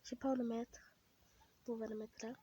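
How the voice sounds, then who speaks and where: A woman's voice: fairly high pitch, level tone, fairly quick, mellow, husky, low-quality recording. A woman with a French accent and a stuffy nose from a cold, chatting casually as she plays a game, easily annoyed and muttering asides. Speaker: gaming vlogger.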